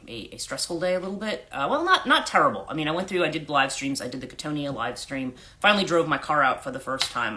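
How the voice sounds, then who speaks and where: Husky congested woman hosting a livestream. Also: a bit bright. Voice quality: husky, a register lower than usual. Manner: rambling, many fillers, throat clearing, greets viewers. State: congested with allergies. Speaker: life coach hosting a livestream. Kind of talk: casual morning livestream chat.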